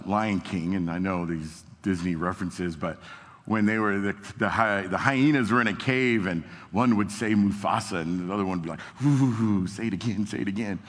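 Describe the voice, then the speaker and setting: A man's voice: deep voice, steady tone, moderate pace. A young man, a youth pastor, giving a casual sermon and reading scripture aloud into an amplified microphone in a church. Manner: casual and self-deprecating, reads scripture with rising emphasis. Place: church hall with amplified sound. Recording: long hall reverb.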